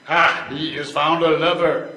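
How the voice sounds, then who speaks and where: A man's voice: fairly high pitch, level tone, unhurried, bright, forceful tone. An older man playing a flamboyant, worldly French painter in an amateur readers theater play. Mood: knowing. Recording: echoey room, background hum.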